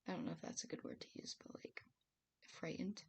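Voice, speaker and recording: A woman with a raspy voice streaming as she writes, thinking aloud hesitantly with many fillers and soft laughs. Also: fairly quick, in a whisper. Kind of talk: casual live-stream musing while writing. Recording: faint hiss behind the voice.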